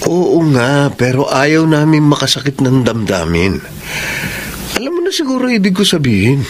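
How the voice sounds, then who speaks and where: Lively-pitched, secretive young Filipino man. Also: moderate pace, slight background noise. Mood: guarded, anxious. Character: secretive young man, guilty about hurting his friend. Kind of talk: Filipino radio drama acting.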